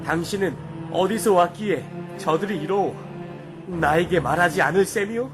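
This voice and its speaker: A stern and troubled Roman governor acted by a man in a children's Bible drama. His voice sits high and carries weary authority in formal, declamatory speech. Music runs faintly underneath. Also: normal speed, natural voice. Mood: stern questioning.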